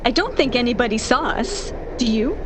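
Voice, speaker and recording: A woman's voice: on the high side, expressive, moderate pace, noisy background, natural voice. An actress playing a lovestruck, stubbornly hopeful young woman in a 1940s radio drama, with an insistent, dramatic manner. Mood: excited, secretive.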